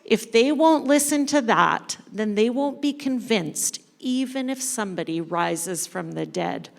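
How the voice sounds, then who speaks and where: Stern, sorrowful old patriarch voiced by a woman, very slow and reproachful, staged parable reading, reverberant hall. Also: a little high, animated, vocal fry. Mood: firm, grave. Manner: grave, deliberate, reproachful. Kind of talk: dramatic church parable reading. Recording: echoey large hall.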